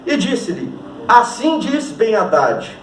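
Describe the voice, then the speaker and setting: A man's voice: sits high, big pitch swings, takes it slowly, fairly bright tone, a little hiss or hum. A man preaching to his church congregation as pastor, joking and thanking members before reading scripture with emphasis. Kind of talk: sermon. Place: church hall. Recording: echoey church hall from a distance. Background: congregation ambience.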